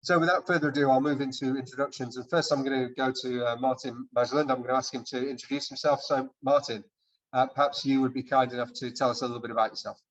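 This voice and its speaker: Guy moderating a webinar on a video call, polite and measured, muffled lo-fi sound. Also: mid-range voice, fairly even intonation. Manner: measured, polite, with small restarts. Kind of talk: online webinar hosting. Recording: dull lo-fi call audio.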